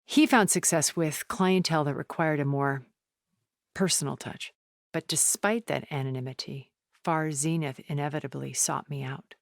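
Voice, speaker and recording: An actress playing a poised, cultured, wealthy woman in a video game, speaking in a measured, formal, refined way. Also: sits low, vocal fry, dry recording, studio quality. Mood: cool, explanatory. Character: poised, cultured, wealthy woman, a thousand years old, sounding middle-aged. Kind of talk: video game character dialogue.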